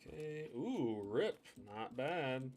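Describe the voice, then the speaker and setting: A man's voice: medium pitch, sing-song, takes it slowly, a little mellow, slight background noise. A man streaming a game, chatting casually and thinking aloud as he plays. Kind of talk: live gameplay commentary.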